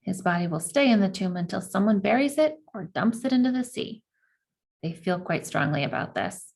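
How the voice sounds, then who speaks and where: A woman reading a children's historical novel aloud in the first person, with expressive delivery and the odd aside to her listeners. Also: medium pitch, normal speed, gravelly.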